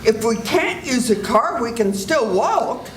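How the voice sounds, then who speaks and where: A woman's voice: a little high, expressive, a little slow, a little hiss or hum. An older woman playing a firm, take-charge doctor. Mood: steady. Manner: firm, reassuring, commanding. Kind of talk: 1940s radio drama reading. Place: senior center event room. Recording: echoey room with loud music.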